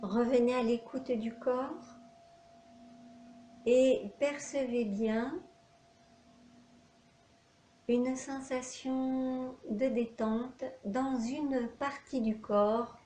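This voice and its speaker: A woman with a fairly high voice guides a relaxation meditation at an extremely slow pace. She gives short instructions separated by long pauses. The room is echoey. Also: fairly even intonation, dark tone. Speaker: yoga nidra instructor. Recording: echoey room, faint background noise.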